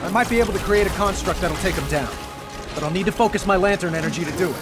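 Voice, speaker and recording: An actor voicing an earnest, eager young hero with a power ring. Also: male voice, sits high, fast talker, over music, natural voice. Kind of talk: video game cutscene acting.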